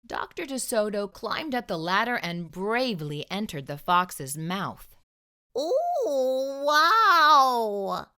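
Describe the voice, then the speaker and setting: A woman hosting a children's storytime, reading a picture book aloud in an expressive narrating style. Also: on the low side, a little slow, few pauses. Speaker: children's storytime host and reader.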